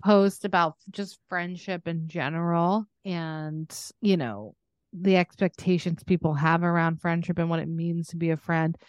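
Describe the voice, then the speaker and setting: A woman's voice: fairly low pitch, fairly even intonation, measured pace, no room sound, highs cut off. A woman co-hosting a podcast in casual chat, talking in a chatty, joking, self-deprecating way. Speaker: podcast co-host.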